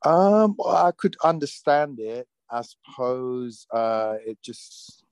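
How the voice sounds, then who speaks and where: A man in his forties with a London accent who grew up speaking Thai, talking about his career in a relaxed, rambling way as an interview guest. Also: medium pitch, slow pace, clean tone, no echo. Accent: London English. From London, England. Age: 40s. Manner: easygoing, rambling, full of "you know". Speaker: actor being interviewed. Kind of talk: casual interview talk.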